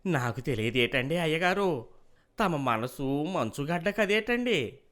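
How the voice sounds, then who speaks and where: A man's voice: fairly high pitch, big pitch swings, unhurried, fairly bright tone. A man playing a humble village farmer in a moral story, speaking deferentially in rustic dialect. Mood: humble pleading. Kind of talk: moral story character dialogue.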